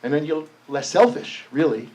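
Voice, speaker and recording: Rambling higher-voiced man, far off. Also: expressive, moderate pace, darkish tone. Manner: rambling, down-to-earth, thinking aloud. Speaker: audience member. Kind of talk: spoken comment in a public discussion. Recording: distant room mic.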